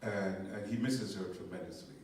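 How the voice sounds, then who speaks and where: An older man answers slowly and thoughtfully, stumbling and repeating his words. His voice is low and warm. It sounds distant, caught in a big echoing theater with a faint hiss. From Guyana. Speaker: stage actor on a talkback panel. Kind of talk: post-show panel answer. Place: theater stage. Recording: echoey hall from far off.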